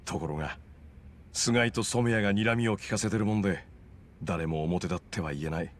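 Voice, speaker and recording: A man voicing an earnest, low-voiced informant. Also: moderate pace, close to an everyday voice. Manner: earnest, explanatory. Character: earnest male informant, thirties, loyal and troubled. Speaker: loan business owner. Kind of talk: video game cutscene dialogue. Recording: game audio with music behind. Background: music.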